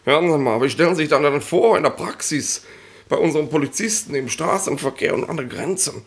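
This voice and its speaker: A pompous, creaky-voiced police inspector. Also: male voice, fairly high pitch, expressive, a little fast. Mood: indignant. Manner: officious, rambling, keeps tagging on questioning words. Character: pompous bullying male police inspector, easily amused. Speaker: actor in a radio comedy. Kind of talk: 1960s German radio play acting.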